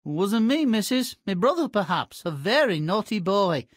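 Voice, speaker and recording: A woman's voice: medium pitch, sing-song, normal speed, no echo, clean studio sound. A woman voicing a lazy, cheeky young peasant boy in a fairy-tale video game, with a nonchalant manner. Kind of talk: video game character acting.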